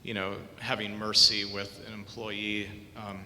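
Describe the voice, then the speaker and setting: A man's voice: little pitch movement, measured pace, sharp tone. A man in the audience asking a panel a question, searching for words as he goes. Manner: searching, hesitant phrasing. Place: event hall. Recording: echoey hall, faint rumble.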